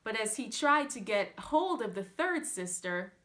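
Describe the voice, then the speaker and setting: A woman reading a fairytale aloud as a children's storyteller, with theatrical, drawn-out emphasis. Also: on the high side, big pitch swings, bright, forceful tone. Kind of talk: scripted fairytale narration for children.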